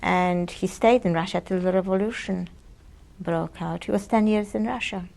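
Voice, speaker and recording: A Polish-born woman in her sixties giving oral history testimony in an interview, often pausing and searching for words. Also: mid-range voice, fairly even intonation, vocal fry, darkish tone, slight background noise. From Poland. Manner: hesitant, searching for words with many ums and restarts. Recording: 1980s audio cassette interview.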